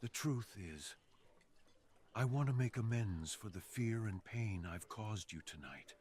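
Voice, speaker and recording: A man voicing a brooding, seductive fox assassin in an intimate ASMR roleplay, speaking slowly and earnestly with dramatic pauses. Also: lowish voice, animated, a little mellow. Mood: earnest, tender. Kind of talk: ASMR roleplay, slow intimate scripted monologue.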